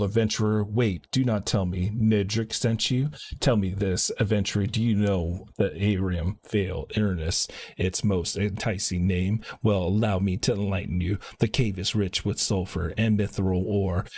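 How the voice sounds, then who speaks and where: Man reading as a low, husky game quest-giver. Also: steady tone, a little slow, few pauses, darkish tone. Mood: wry warning. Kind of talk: reading game dialogue aloud.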